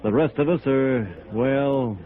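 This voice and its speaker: A man's voice: mid-range voice, takes it slowly, close to an everyday voice. An American man playing a bitter, beaten-down builder in a 1950s radio drama, wry and resigned. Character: bitter, beaten-down male builder turned outcast. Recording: thin, tinny old radio recording.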